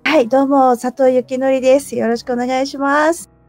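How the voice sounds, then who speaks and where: Giggly polite lady guest, kinda high voice, modest, soft music behind. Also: fairly even intonation, normal speed. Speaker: guest, manga artist. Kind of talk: casual polite interview chat.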